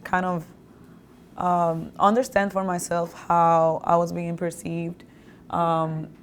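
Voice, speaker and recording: Woman, young artist, lowish voice, talks real slow with lots of ums. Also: little pitch movement, slight background noise. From Dominican Republic. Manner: hesitant, thoughtful, many pauses and "um"s. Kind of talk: conversational interview. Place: university art gallery.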